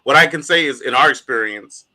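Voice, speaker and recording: A man co-hosting a casual podcast, talking in an animated way with frequent laughs and plenty of fillers. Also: mid-range voice, fairly quick, rough recording. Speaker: podcast co-host. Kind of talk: casual chat.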